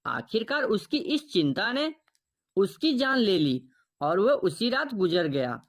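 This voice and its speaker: A woman narrating a children's cartoon story in an even, scripted storytelling tone. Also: medium pitch, a little slow.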